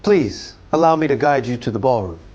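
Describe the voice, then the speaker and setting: A man's voice: mid-range voice, animated, brisk, a little mellow, muffled recording, close to an everyday voice. An actor playing a debt-ridden baron in an audio drama, nervous and obsequious toward his guests. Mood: flustered, placating. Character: flustered, debt-ridden baron father, anxiously placating guests. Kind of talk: audio drama character acting.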